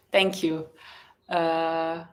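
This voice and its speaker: A woman who is a native Slovenian speaker, hosting a casual live chat in a gentle, encouraging and effusive way, often pausing mid-thought. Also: low voice. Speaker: live stream host and blogger.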